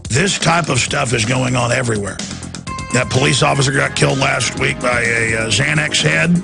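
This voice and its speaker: Hoarse, raspy, gravelly man ranting on radio. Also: mid-range voice, moderate pace, music in the background. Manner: ranting, indignant. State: hoarse, straining to talk. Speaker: radio talk show host. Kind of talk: combative political commentary.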